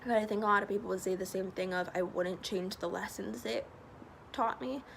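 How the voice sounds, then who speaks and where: A young woman with a stuffy nose talks earnestly through a casual vlog, filling pauses with ums. Her voice carries a gravelly fry. She records outdoors, and clear traffic noise runs behind her. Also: medium pitch, steady tone, fairly bright tone. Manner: chatty, earnest, many fillers. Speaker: vlogger. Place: outdoors by a loud road. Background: passing traffic.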